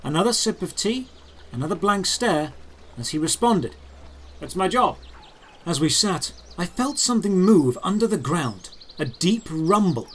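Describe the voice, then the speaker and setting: High-voiced, expressive male fantasy narrator. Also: husky. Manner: dramatic, deliberate storytelling with short phrases. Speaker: narrator and lead character. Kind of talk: scripted first-person fantasy audio drama narration.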